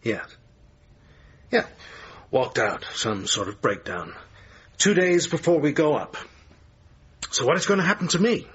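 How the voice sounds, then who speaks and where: A man acting in a radio drama as a bitter, needling, self-pitying theatre manager and lover, with a husky voice. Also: on the high side, very animated, unhurried, pauses often, a bit bright, dull audio, exaggerated voice. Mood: agitated, bitter.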